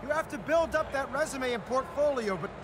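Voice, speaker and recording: A man playing a suave, encouraging art teacher in a video game, polished but with small hesitant pauses. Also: very high-pitched, lively intonation. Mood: casual, probing. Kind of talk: video game cutscene dialogue and stage announcement. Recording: echoey hall, crowd and noise behind.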